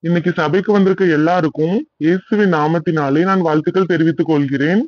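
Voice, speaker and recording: High-pitched, earnest cartoon pastor played by a man. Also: fairly even intonation, quick pace, no echo, dull audio, lightly acted. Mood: pleased, welcoming. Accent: southern Tamil Nadu. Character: kindly, earnest male village church pastor.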